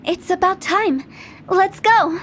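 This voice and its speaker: A woman's voice: very high-pitched, slow, a little hiss or hum. A woman voicing a playful, eccentric young female funeral director in a video game, theatrical and teasing.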